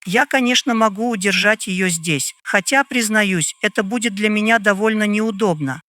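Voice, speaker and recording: A woman's voice: medium pitch, moderate pace, fairly bright tone, no echo, natural voice. A woman playing a composed landlady in an old London detective audio drama, speaking formally and a little hesitantly. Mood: reluctant.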